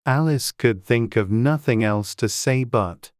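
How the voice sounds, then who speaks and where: A man narrates a storybook in a very clear, smooth voice of middle pitch. The recording is dry, with a scripted audiobook delivery. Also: measured pace, no room sound.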